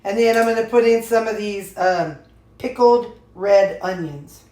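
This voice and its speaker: A woman's voice: medium pitch, unhurried, mellow. A woman chatting casually while she cooks in her home kitchen for a vlog. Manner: chatty, folksy, talks while cooking. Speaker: vlogger. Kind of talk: casual cooking vlog chat. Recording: echoey kitchen with faint noise.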